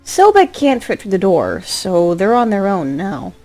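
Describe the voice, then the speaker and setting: A woman narrating a scripted drama as a storyteller, expressive, with a wry touch. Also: mid-range voice, unhurried. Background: music.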